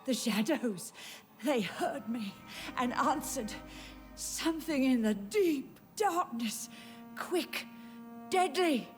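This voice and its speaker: Slow, sinister undead woman. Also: high-pitched, animated, faint music behind. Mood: vengeful. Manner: slow, taunting, menacing, savoring words. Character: bitter, sick undead woman, hateful, gleefully sinister, cryptic. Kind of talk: video game dramatic acting.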